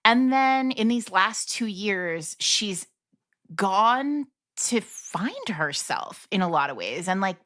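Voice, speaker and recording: A woman's voice: mid-range voice, sing-song, slow pace, a bit bright. A woman co-hosting a podcast, talking casually and animatedly about a book, thinking aloud with frequent restarts. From grew up speaking Italian at home. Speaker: podcast co-host.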